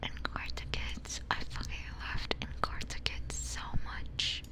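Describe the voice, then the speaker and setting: A woman from the Philippines whispering casually to live stream viewers in ASMR style, slangy and sweary, close on a binaural microphone. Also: slow. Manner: slangy, swearing, rambling reminiscence.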